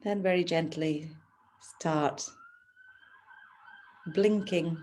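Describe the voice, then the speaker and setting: A woman teaching yoga nidra, giving gentle, soothing, unhurried guidance through a relaxation practice. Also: on the low side, fairly even intonation. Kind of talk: guided relaxation instruction.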